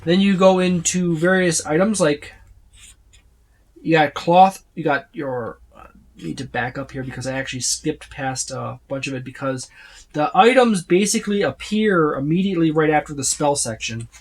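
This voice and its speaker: A man's voice: fairly high pitch, normal speed. A man giving a casual, unscripted walkthrough of a book, rambling and often listing items one by one. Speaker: tabletop gaming reviewer.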